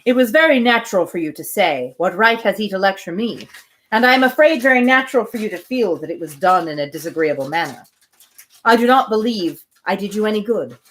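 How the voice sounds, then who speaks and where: Dry teasing gentleman, measured, read by a woman, storybook reading. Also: medium pitch, animated, lightly acted, poor audio. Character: dry, teasing gentleman suitor in his thirties.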